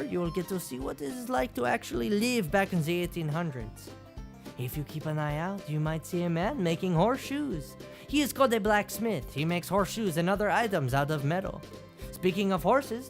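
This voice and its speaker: An actor playing a proud, wealthy 1800s Basque rancher guiding a museum tour, theatrical and formal, with a Basque accent. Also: male voice, high-pitched. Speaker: museum guide in character. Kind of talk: scripted educational skit.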